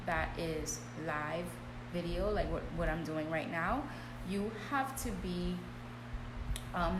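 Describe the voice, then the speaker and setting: Chatty woman coaching on a live stream. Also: on the low side. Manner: chatty, frequent "um" and "okay?" check-ins. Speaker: business coach hosting a live video. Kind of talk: casual live-stream talk. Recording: echoey room with clear background hum.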